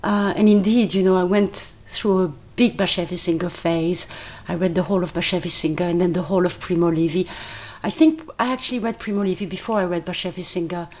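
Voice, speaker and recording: A woman's voice: lowish voice, fairly even intonation, fast talker, hardly any pauses, darkish tone. A woman whose first language is French, a writer and translator, chatting as a podcast guest in her kitchen and speaking reflectively with an easy laugh. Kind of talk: casual conversational interview. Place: home kitchen.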